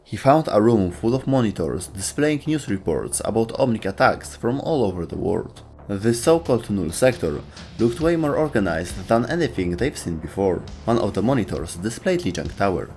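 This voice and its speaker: A man's voice: lowish voice, sing-song, normal speed, darkish tone, a little hiss or hum. A man narrating a scripted video game lore explainer as its host.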